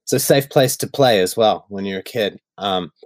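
A man with an Australian accent chatting on a podcast, his voice a touch low and recorded close and dry. He tells stories in a relaxed, meandering way, with plenty of ums and likes. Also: moderate pace, no echo. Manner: loose storytelling with many fillers. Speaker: worship songwriter guest. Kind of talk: casual podcast chat.

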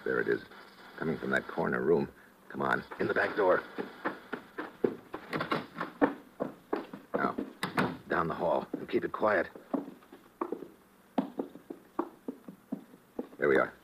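A man's voice: rumbling low, fairly quick, vocal fry, dull audio, very noisy. An actor playing a tough, wisecracking male secret agent in a 1950s radio drama, with a clipped, commanding manner. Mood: hushed. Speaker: lead actor as a special agent.

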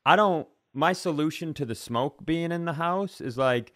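A man's voice: a little high, moderate pace, a bit bright. An American man co-hosting a podcast, telling casual comedic stories in a rambling, self-deprecating way. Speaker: podcast co-host.

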